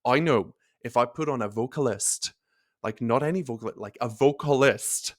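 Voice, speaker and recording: Expressive, animated male nurse coach. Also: medium pitch, normal speed. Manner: expressive, animated, swearing, lots of "like" fillers. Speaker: nurse coach and podcast host. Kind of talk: casual motivational talk.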